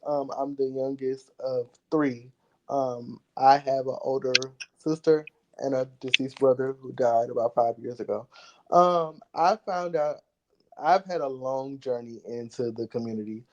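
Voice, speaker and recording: A man from Saint Louis, a guest in a casual personal conversation, speaking in a measured way with frequent "um" pauses. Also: medium pitch, dull audio.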